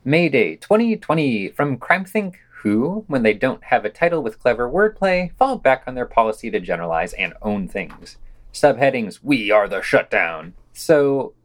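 A man reads scripted podcast round-ups with a very varied, animated intonation and a medium pitch. He drops in sardonic asides between the read passages, in the manner of a wry co-host. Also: moderate pace. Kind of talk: scripted news-and-opinion reading with wry commentary.

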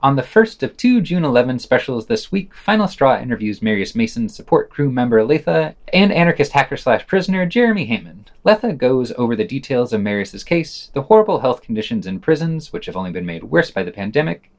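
Man reading scripted commentary, very animated pitch. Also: a little fast, hardly any pauses. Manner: reads quotations aloud, marking quote and unquote. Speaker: podcast presenter reading reviews of news and audio. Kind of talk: scripted narration with dry commentary.

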